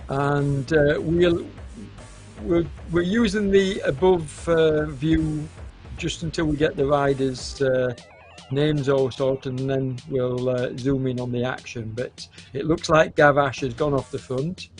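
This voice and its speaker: A man with a cold commentating a live esports cycling race, hesitant and full of fillers, with a hoarse voice and occasional coughs. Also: a little high, steady tone, darkish tone, soft background music. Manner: hesitant, many fillers, unhurried.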